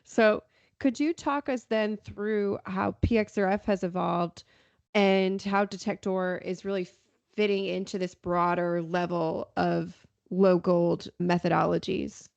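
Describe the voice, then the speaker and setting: Low, creaky-voiced woman interviewing, slightly dull audio. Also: fairly even intonation, measured pace, darkish tone, dry recording. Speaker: podcast host interviewer. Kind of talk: conversational technical interview.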